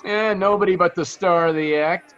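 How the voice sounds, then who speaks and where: Man playing highish-voiced, slangy radio informant. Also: normal speed, a bit bright, lo-fi sound. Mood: amused. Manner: slangy, hesitant. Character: amused, chatty male informant, slangy and hesitant. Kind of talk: 1940s radio drama acting.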